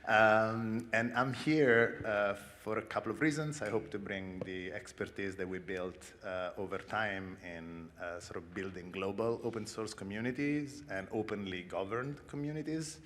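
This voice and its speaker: An Italian man with a very low voice introduces himself on a conference panel, easygoing and a little self-deprecating, with plenty of "uh" between his phrases. His voice rings in the long reverb of a large hall. Faint room ambience hums underneath. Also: moderate pace, hardly any pauses, slight background noise. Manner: relaxed, self-deprecating, many fillers.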